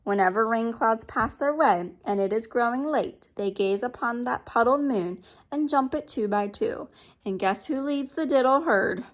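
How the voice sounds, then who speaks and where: A woman reading a picture book aloud to children in an expressive storytelling style. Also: fairly high pitch, moderate pace.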